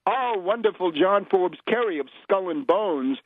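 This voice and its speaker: A man delivering an unscripted political radio monologue in a scornful, emphatic, opinionated manner, with halting, deliberate phrasing. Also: sits high, lively intonation. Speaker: political radio commentator and host. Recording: dull, lo-fi radio audio.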